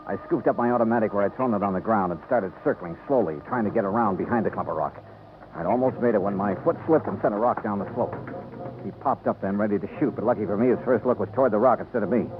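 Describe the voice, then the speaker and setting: An American actor playing a wry, confident insurance investigator in a 1950s radio detective drama, with brisk, wisecracking delivery. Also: male voice, on the low side, natural voice. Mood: tough, matter-of-fact. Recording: muffled old radio recording, narrow band, music.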